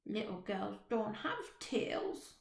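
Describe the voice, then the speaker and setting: A woman voicing a timid but touchy baby barn owl boy who speaks slowly and carefully, in a roomy, echoey room. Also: medium pitch, very animated, vocal fry, exaggerated voice. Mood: prim. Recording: roomy echo.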